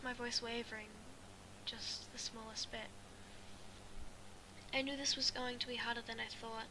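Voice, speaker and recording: High-voiced woman reading aloud, noisy recording. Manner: halting, stumbling and rereading phrases. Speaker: amateur fan fiction reader. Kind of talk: reading a first-person story aloud.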